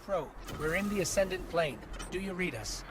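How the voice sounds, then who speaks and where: An actor playing a small, loyal, alert robot companion in a video game, reporting earnestly and with concern. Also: male voice, high voice, animated, normal speed. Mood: anxious. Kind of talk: video game cutscene acting. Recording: clear background noise and ambience.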